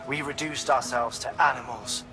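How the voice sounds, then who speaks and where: Mid-pitched man, acted, philosophical, articulate, reflective lecturer, game cutscene monologue, prominent music. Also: close to an everyday voice, low-quality recording. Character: reflective, articulate male forecaster lamenting humanity's decline.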